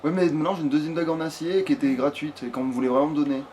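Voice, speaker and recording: A man's voice: a little high, very fast, darkish tone, slight background noise. A man giving casual, rambling let's play commentary peppered with swearing. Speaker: let's player.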